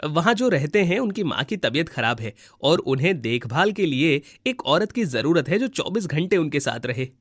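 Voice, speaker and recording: Casting: male actor as helpful, sympathetic brother in a moral tale; higher male voice, dry sound. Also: big pitch swings, normal speed, hardly any pauses, fairly bright tone, dry recording.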